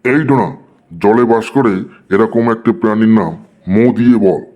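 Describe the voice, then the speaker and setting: A man's voice: lowish voice, normal speed, a little mellow, close to an everyday voice. A man voicing a stern, easily angered schoolteacher who scolds in a cartoon comedy. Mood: exasperated.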